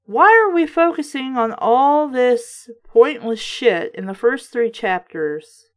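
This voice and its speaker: A woman with a slightly high voice gives casual, critical commentary as the host of a book show. She is blunt and exasperated, and she swears freely while making her points. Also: slow.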